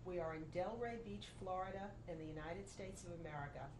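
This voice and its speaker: A woman's voice: fairly low pitch, fairly quick, noisy background. A woman interviewing an older survivor, who formally reads out names, dates and places. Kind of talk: oral history interview.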